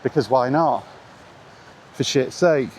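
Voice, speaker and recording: Man, middle-aged, mid-pitched voice, blunt casual vlog monologue, emphatic repetition, outdoor recording with faint ambient noise. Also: takes it slowly, darkish tone. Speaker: video blogger talking about retro games. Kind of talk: casual rambling opinion monologue. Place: outdoors in the rain, walking.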